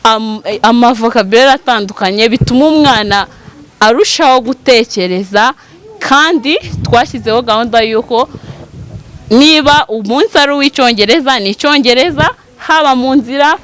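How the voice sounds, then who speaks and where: A woman's voice: fairly high pitch, moderate pace, fairly bright tone. A woman from a school, steadily explaining its teaching methods in an interview. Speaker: school administrator. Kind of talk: interview statement.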